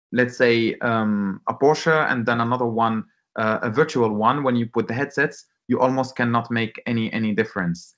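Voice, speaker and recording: A man giving informal interview answers as a guest, halting and repeating words as he searches for phrasing. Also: mid-range voice, fairly bright tone, dry recording. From Morocco. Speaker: startup founder, webinar guest. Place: online webinar call. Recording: muffled, band-limited online call.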